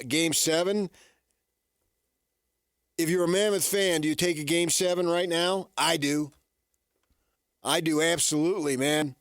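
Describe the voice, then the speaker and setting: A man hosts sports talk in a dry, close studio sound. His pitch sits in the middle range. He rambles casually through his thoughts, posing questions and then answering them himself. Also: dry recording. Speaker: sports radio host. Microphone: studio radio microphone.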